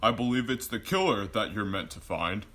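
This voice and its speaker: An actor playing a know-it-all who makes pointed, explanatory corrections in a rhyming comic audio drama. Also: male voice, fairly low pitch, lively intonation, normal speed, sharp tone. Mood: pedantic, pointed. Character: know-it-all male onlooker politely correcting the detective.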